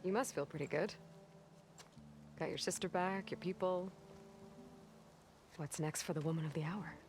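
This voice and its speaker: An actress playing a young, modest and frank heroine in a video game cutscene, with an American accent and a reassuring, natural delivery. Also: female voice, lowish voice, normal speed, background noise, close to an everyday voice. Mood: gentle, encouraging. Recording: loud music behind the voice.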